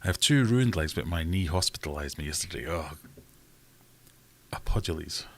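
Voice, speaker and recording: A man's voice: extremely low pitch, lively intonation, moderate pace, vocal fry, a bit bright. A man hosting a casual livestream from home, rambling and self-deprecating as he reads out chat comments. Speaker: livestream host.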